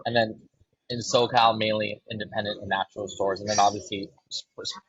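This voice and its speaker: A man from Los Angeles, a brand co-founder, talking casually in a podcast interview and pausing on ums and restarts. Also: on the low side, lo-fi sound. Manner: relaxed, fills with ums and restarts.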